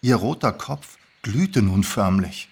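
A man narrating a story in an expressive, scripted reading. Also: fairly low pitch, slow, creaky, breathy edge. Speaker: narrator, actor.

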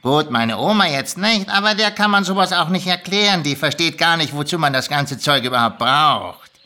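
A woman's voice: low voice, lively intonation, moderate pace, pushed and bright. A woman voicing a cocky, laid-back little male goblin in a story reading, with a casual, drawling, colloquial manner. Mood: laid-back, self-satisfied.

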